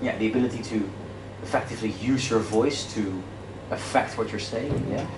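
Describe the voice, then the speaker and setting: Dutch-native male lecturer, 30s, low voice, chatty and self-mocking, distant camera in a noisy classroom. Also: normal speed. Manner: casual, self-deprecating, many restarts and fillers; walks and gestures while talking. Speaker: debate workshop lecturer. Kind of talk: interactive lecture. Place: classroom with projector screen, indoors. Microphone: far camera. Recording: echoey classroom from far off, noisy.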